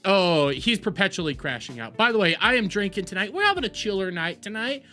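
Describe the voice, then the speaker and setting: A man hosting a livestream, talking in a rambling, profane and excitable way while greeting his chat. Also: high voice, brisk, faint music behind. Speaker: livestream host.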